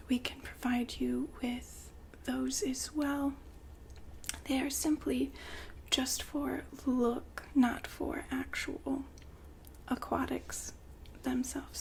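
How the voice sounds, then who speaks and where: A woman whispering softly and breathily in an ASMR roleplay, with gentle, polite sales patter. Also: high-pitched, vocal fry, a little hiss or hum.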